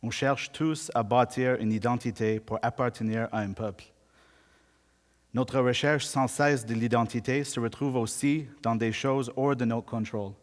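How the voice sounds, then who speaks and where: A Canadian man whose first language is English, preaching a sermon in measured, deliberate speech and slowing down to read scripture. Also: medium pitch, steady tone, in a large hall.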